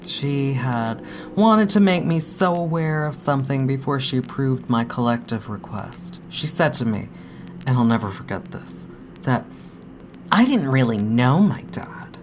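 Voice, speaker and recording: A woman acting a grieving, haunted daughter in an audio drama monologue, speaking intimately and haltingly with hushed, breathy dips. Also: extremely low pitch, lively intonation, background noise. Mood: hesitant, haunted. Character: grieving adult daughter, anxious, haunted, longing for her dead father.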